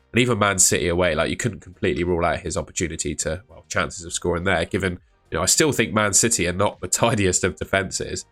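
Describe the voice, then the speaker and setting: A man's voice: low-pitched, a bit bright. A man with a cold, hosting a fantasy football video in a chatty, thinking-aloud way. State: has a cold. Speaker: fantasy football channel host. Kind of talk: casual solo video commentary.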